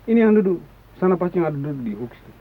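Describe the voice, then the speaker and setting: A man playing a brisk young hostage-taker in a radio play. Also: high-pitched, very animated. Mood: hurried. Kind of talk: radio drama dialogue. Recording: tinny, narrow-band old radio recording.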